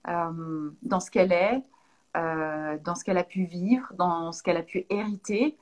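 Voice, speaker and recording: A woman's voice: lowish voice, measured pace. Hesitant, thoughtful French woman. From Lyon, France. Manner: thoughtful, many hesitations and restarts. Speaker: naturopath. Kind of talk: casual interview answers.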